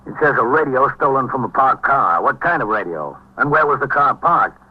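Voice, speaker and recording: An actor playing a world-weary, commanding police captain who narrates tersely in a hard-boiled 1950s radio drama. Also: male voice, mid-range voice, big pitch swings, normal speed, breathy edge. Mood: brusque. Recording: muffled 1950s radio recording.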